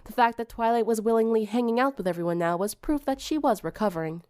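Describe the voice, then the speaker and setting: A woman's voice: fairly high pitch, quick pace, darkish tone, clean tone, no room sound, studio quality. A woman reading scripted fiction as an audiobook narrator.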